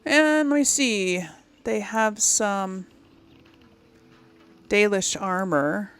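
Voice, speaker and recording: A woman's voice: mid-range voice, lively intonation, extremely slow, a bit bright, clean tone. A woman giving casual commentary as she plays a game, thinking aloud in a musing way. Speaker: gamer, series host.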